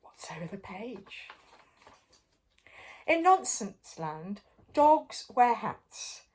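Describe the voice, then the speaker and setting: An older woman reads a children's picture book aloud with a very lively, swooping melody to her voice. Her pitch sits in a middle range for a woman. She is playful and expressive, often breaking off to chat to the young listener. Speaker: storyteller.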